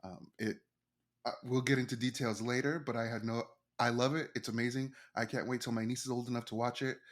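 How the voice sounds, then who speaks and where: A man co-hosting a podcast in casual chat, excitable and self-deprecating, quick to laugh. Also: mid-range voice. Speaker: podcast co-host.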